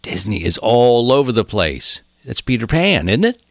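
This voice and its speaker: A man's voice: mid-range voice, sing-song, normal speed, no echo, clean studio sound. A man in his thirties hosting a podcast in casual, rambling talk, often repeating phrases for emphasis. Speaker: podcast host.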